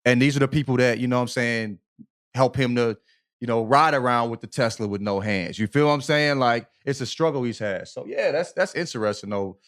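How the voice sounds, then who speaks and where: A man's voice: medium pitch, brisk, fairly bright tone. A man co-hosting a podcast, chatting casually in an emphatic, slangy way, repeating himself and often checking that listeners follow. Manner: repetitive, emphatic, slangy, peppered with check-in tags. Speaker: podcast co-host. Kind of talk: casual opinionated podcast chat.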